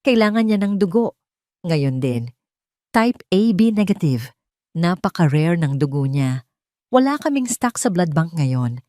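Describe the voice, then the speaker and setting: A woman's voice: lowish voice, very animated, unhurried, pauses often, warm tone, dry recording, clean studio sound. A woman with a Filipino accent voicing a serious hospital doctor in an audio drama, grave and insistent. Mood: grave urgency. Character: serious, urgent hospital doctor, male or female. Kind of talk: audio drama character acting.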